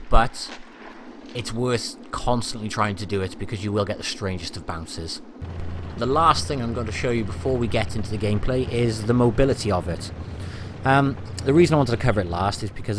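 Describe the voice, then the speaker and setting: Welsh man, fairly low voice, casual chatty game commentary, rumbling game noise behind. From South Wales. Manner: chatty, jokey, enthusiastic. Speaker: video game reviewer. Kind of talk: casual gameplay commentary over footage.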